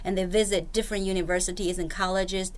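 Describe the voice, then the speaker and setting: A woman's voice: mid-range voice, fairly even intonation, fast talker, a little hiss or hum. A woman from Beijing with a Mandarin Chinese accent, a college director talking plainly as a guest on a radio interview. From Beijing, China. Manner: plain, practical, with frequent restarts. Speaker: director of distance learning, guest.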